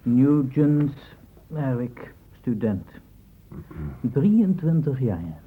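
An actor playing an informed, unsentimental doctor in a Dutch radio play, reporting in a clipped, factual way. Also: male voice, mid-range voice, sing-song, very slow, slight background noise. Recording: muffled, dull old radio recording.

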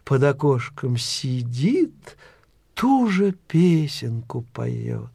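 A man's voice: mid-range voice, very animated, drawn-out words, gravelly, a little mellow, breathy edge, dry recording. A man narrating a children's fairy tale in a folksy, theatrical storytelling style.

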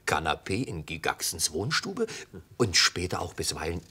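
A man's voice: low voice, big pitch swings, normal speed, bright, forceful tone, breathy edge, full character voice. An actor playing a middle-aged travelling salesman in a radio play, naive, hearty and self-satisfied. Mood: boastful, breezy. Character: jovial, boastful travelling textile salesman, naive and sentimental. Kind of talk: German radio play acting.

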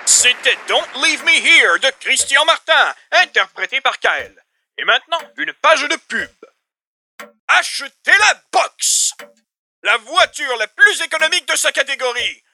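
Man doing a very high-pitched zany radio pitchman. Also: lively intonation, measured pace, pushed and bright, a faint crowd, exaggerated voice. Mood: announcing, then hard sell. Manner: exaggerated salesman pitch, rattling off lists. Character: zany over-the-top male radio announcer selling junk. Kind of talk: parody radio advertisement.

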